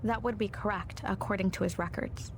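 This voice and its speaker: An actress playing a composed, precise intelligence operative with a formal, factual manner in an audio drama. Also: female voice, medium pitch, steady tone, a little fast, close to an everyday voice. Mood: composed, businesslike. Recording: clearly noisy ambience behind.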